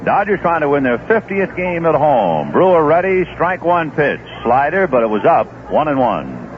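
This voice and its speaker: Lively baseball announcer on old radio. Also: male voice, on the high side, normal speed. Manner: relaxed, conversational play-by-play with asides. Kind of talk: live baseball radio commentary. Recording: dull old radio broadcast with noise.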